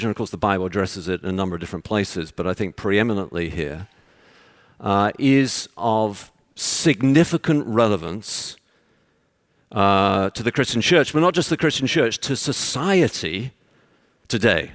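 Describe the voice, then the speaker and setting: A man with a Texan accent preaching a conference sermon in an earnest, conversational way, pausing as he goes. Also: fairly low pitch, no echo, studio quality. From Texas. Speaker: visiting preacher. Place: church conference.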